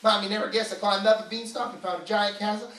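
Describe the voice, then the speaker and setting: A high-pitched, excitable little boy, put on by a man in a puppet show. He sounds naive and eager, calling out shrilly. The room echoes and the mic is some way off. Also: fast, far from the mic. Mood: excited.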